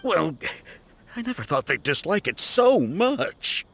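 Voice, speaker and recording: A man playing a level-headed, dry toy shop worker who explains things in a measured way in a video game. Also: fairly high pitch, very animated, gravelly, fairly bright tone, soft background music, exaggerated voice. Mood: dry, weary.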